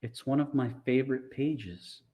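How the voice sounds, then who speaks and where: A man's voice: medium pitch, a little slow, mellow, voice pushed up, close to an everyday voice. A man voicing a feisty, bossy young girl in an audiobook, with an emphatic way of talking. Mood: softened. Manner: scolding, emphatic. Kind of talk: audiobook character voice.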